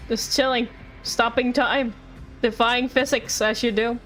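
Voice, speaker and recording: A woman livestreams a game with a fairly high voice and faint music behind it. She chats casually, playful and pleading, often apologizing as she goes. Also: little pitch movement, a little slow, a bit bright, poor audio. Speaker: streamer.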